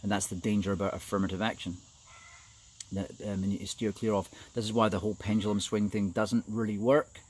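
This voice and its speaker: Low-voiced rambling man from New Zealand. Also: flat delivery, brisk, a little mellow, slightly rough. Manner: opinionated, rambling, hesitant. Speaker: video commentator. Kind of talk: informal opinion monologue.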